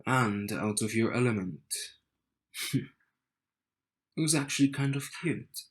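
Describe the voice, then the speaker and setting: A man playing a cocky, wry and slightly bitter young man in an intimate audio roleplay, teasing and smug as he speaks to the listener. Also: mid-range voice. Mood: soft, reminiscing. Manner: slow, teasing, smug, with sighs and soft chuckles.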